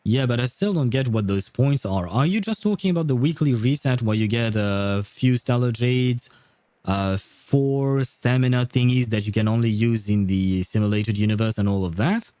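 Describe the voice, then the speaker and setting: A man's voice: mid-range voice, normal speed, mellow, no room sound. A man hosting a casual gaming livestream, chatting with viewers in a rambling, hesitant way. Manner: hesitant, rambling, chuckling often. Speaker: livestream host.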